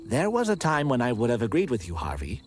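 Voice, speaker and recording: An actor playing an eager, eccentric leader of an amateur pagan ritual club in a video game, with formal, old-fashioned wording and a theatrical manner. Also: male voice, mid-range voice, huge pitch swings, fast talker, a little hiss or hum. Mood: earnest, awed.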